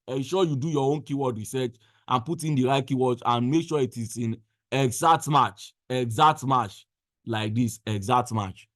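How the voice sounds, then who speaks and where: A man with a medium-pitched voice walks through a software tutorial step by step. He speaks instructively and often repeats a phrase to stress it. The sound is dry, with little room echo. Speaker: tutorial presenter. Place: indoors, voice-over on a screen recording.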